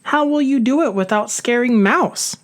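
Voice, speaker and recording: A woman's voice: on the high side, normal speed, voice pushed up, close to an everyday voice. A woman voicing a sensible, bossy but caring hedgehog in a storybook, emphatic and reassuring. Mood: concerned.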